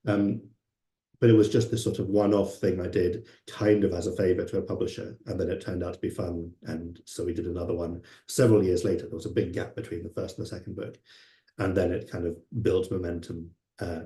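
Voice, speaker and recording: Male panelist, deep and mellow, halting delivery full of ums. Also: reverberant. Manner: hesitant, many fillers and restarts. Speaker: panelist translator. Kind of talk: reflective panel talk.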